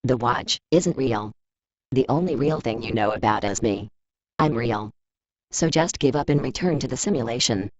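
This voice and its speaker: An actor playing a cold, condescending villain who taunts a rival with deliberate menace in audio drama. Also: male voice, mid-range voice, lively intonation, natural voice. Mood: taunting, menacing. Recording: dull, muffled close dry recording.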